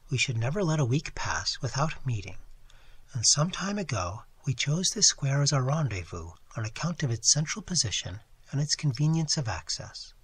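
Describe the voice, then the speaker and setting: A man voicing a distressed young lady in a read-aloud story, speaking in a low, beseeching murmur. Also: a bit bright, husky. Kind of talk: audiobook character reading.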